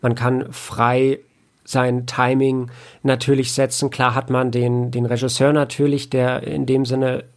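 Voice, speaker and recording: A man's voice: mid-range voice, little pitch movement, unhurried. A man answering casually as an interview guest, hesitant and often searching for his words. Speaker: interview guest, audio drama producer and author.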